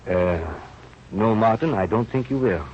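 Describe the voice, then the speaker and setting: An actor playing an old, kindly, rueful scientist, speaking in halting, formal phrases in an old radio drama. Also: male voice, lowish voice, lightly acted. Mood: hesitant, grave. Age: older. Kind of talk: 1930s-40s radio drama acting. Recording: muffled, noisy old radio recording.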